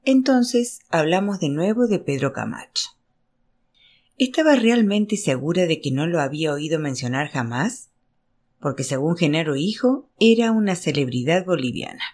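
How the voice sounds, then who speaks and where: Woman, lower-pitched voice, audiobook narration, expressive storytelling, literary prose. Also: normal speed. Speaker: audiobook reader.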